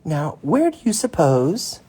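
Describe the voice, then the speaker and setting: A man voicing a fussy, suspicious storybook mother bear with drawn-out, exaggerated delivery. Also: a little high, very animated, mellow, breathy edge, voice pushed up, exaggerated voice.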